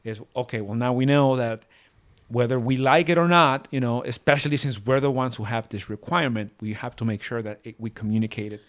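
A man's voice: mid-range voice, fairly quick. A man co-hosting a podcast as a theater director, talking reflectively and earnestly in a casual, rambling way. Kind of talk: casual reflective panel chat.

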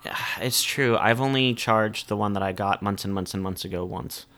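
A man playing a tabletop game, chatting casually in an excitable, rambling way. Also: lowish voice, moderate pace. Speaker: player.

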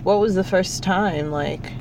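Slow-talking male interviewer. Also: high voice, noisy background. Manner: slow, brief prompts and affirmations. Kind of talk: casual interview.

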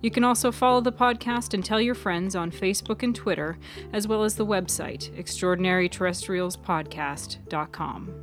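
A woman's voice: medium pitch, normal speed. A woman reading a scripted fiction podcast as its narrator and host, with music behind her. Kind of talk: scripted storytelling narration and read credits.

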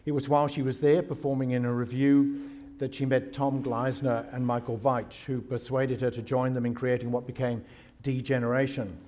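A man's voice: mid-range voice, level tone, moderate pace, warm tone, a little hiss or hum. Man, host, mid-range voice, introducing guest, admiring tone, light laughter. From Scotland. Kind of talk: introducing a guest, then interviewing.

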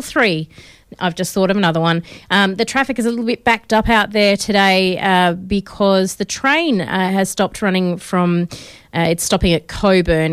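A woman's voice: medium pitch, clear, resonant tone, dry recording, studio quality. An Australian woman in her thirties hosting a radio film show, chatting casually with many fillers, her voice a bit crackly. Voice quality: slightly crackly voice. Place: radio studio. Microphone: studio mic.

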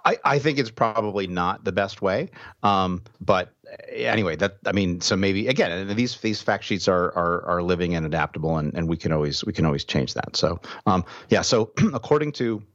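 Loud, professorial man, dull dry sound. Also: low voice, lively intonation, normal speed, no echo. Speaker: podcast co-host, professor. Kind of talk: casual podcast chat.

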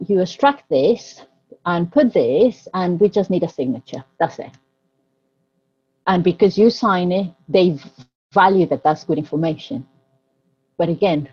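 A woman from Ecuador with a low, husky voice, speaking English as an on a panel. She gropes for words and repeats herself as she goes. The sound is dull and muffled. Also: dark tone. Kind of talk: panel talk.

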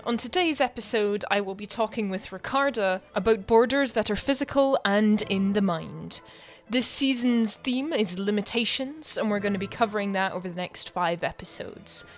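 A woman's voice: medium pitch, normal speed, hardly any pauses, faint music behind. A woman hosting a podcast in a chatty, conversational way, laughing easily. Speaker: podcast host.

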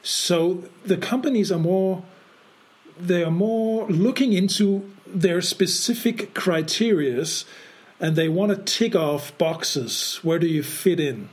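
Halting Danish-born man, podcast co-host. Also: high-pitched. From Denmark. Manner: halting, many repeated words and restarts. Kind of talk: casual podcast chat.

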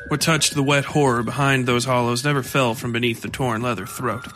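A man reading scripted horror fiction as narrator, with music playing under his voice. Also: mid-range voice.

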